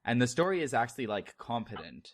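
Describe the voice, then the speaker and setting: A man's voice: medium pitch, big pitch swings, fast, dry recording, studio quality. A man from Canada giving casual review-style opinions as a guest on a podcast.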